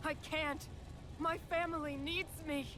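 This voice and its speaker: An actress voicing a loyal, duty-bound royal knight in a dramatic video game, speaking with an earnest, solemn delivery. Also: female voice, high voice, steady tone, measured pace. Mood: desperate, despairing. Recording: loud dramatic music behind voice.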